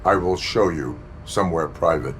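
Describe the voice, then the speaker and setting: A very low-voiced cyborg police officer, stoic and dutiful. He speaks in terse, formal, robotic phrases with no warmth wasted, as in a video game cutscene. Faint noise sits behind him. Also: normal speed. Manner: formal, clipped, deadpan robotic delivery.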